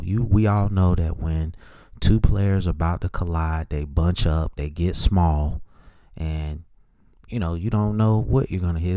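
Deep-voiced man giving mellow sports opinions. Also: no echo, clean studio sound. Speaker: podcast host. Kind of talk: solo sports opinion commentary.

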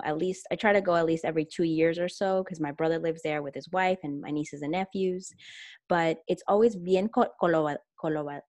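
Low-voiced woman, reflective and casual. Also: darkish tone, no room sound, clean studio sound. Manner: reflective, heavy with fillers like "you know" and "like". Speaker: guest. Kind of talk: casual conversation.

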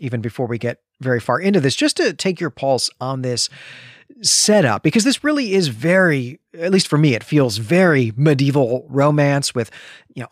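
A man's voice: medium pitch, dry recording, clean studio sound. A middle-aged man hosting a podcast, talking casually about books in a digressive, self-correcting, enthusiastic way. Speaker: podcast host. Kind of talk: casual literary discussion.

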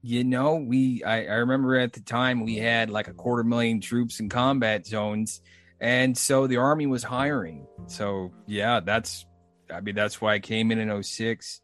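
A man's voice: medium pitch, fairly even intonation, measured pace, fairly bright tone, faint music behind. A man in his thirties hosting a casual interview, rambling and laughing easily. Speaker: podcast host.

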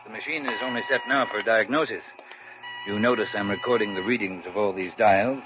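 An actor playing a smooth-talking swindling quack doctor in a 1940s radio drama, gracious and unctuous. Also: male voice, on the low side, fairly quick, over music, lightly acted. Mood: oily. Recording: dull lo-fi old radio broadcast.

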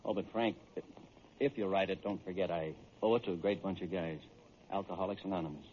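An actor playing a humble, grateful reformed ballplayer in an earnest 1940s radio drama style. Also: male voice, low voice, fairly even intonation, a little fast, darkish tone, close to an everyday voice. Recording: muffled, noisy vintage radio recording.

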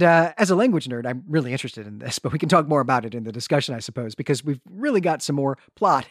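Chuckling male podcast host, slightly higher voice. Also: no echo, clean studio sound. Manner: animated storytelling, often chuckling through sentences. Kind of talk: casual conversational literary discussion and plot retelling.

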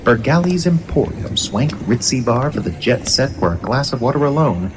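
A man's voice: mid-range voice, big pitch swings, moderate pace, background noise. A man narrating a horror radio play in an ominous, dramatic style.

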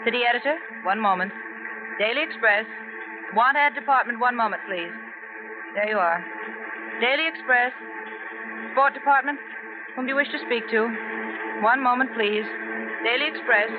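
An actress playing a brisk, businesslike switchboard operator in an old radio drama, with clipped, singsong phone patter. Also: on the high side, lightly acted. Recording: lo-fi vintage radio recording, loud background music.

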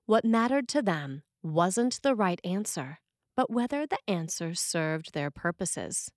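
A woman priest reading a scripted sermon in a measured, expressive way. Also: lowish voice, dry recording, studio quality.

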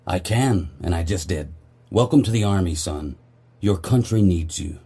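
Guy doing a gruff by-the-book army officer for a radio play, low n raspy, dry, bossy. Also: expressive, normal speed, dark tone, exaggerated voice. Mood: commanding. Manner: dry, authoritative. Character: stern, dry, duty-bound male army officer. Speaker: commanding officer character. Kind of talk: audio drama dialogue.

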